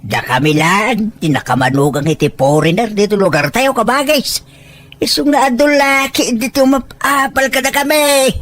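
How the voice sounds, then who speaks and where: A woman's voice: a little high, moderate pace, lightly acted. A woman with a Filipino accent playing a boastful, money-minded older parent in an Ilocano audio drama, pushy and full of exclaimed questions.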